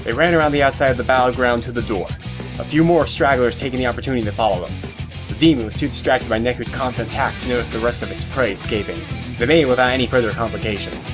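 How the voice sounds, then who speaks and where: A man reads scripted story narration in a medium-pitched voice. Plucked guitar music plays clearly behind him throughout. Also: fast.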